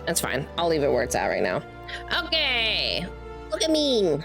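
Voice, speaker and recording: Low-pitched woman chatting on a game stream. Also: wildly swooping pitch, normal speed, vocal fry. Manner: talks to self, sings little filler syllables. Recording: game music behind the voice. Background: game music.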